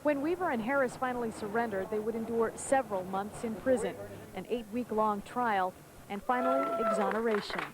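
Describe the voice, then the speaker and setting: Female TV reporter, scripted package narration, higher-pitched voice, very noisy background. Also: a little fast. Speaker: TV news field reporter.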